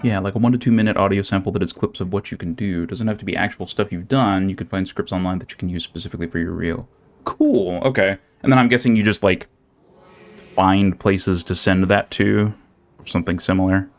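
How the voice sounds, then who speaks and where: A man's voice: deep voice, a little fast. A young man streaming a game, chatting casually with lots of fillers and restarts. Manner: rambling, many fillers and restarts. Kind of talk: casual chat while playing. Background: faint game music.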